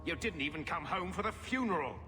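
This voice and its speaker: An actor playing a resentful, defensive middle-aged brother, accusing and bitter, in a video game drama. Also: male voice, a little high, lively intonation, a little fast, sharp tone, long reverb tail, faint music behind.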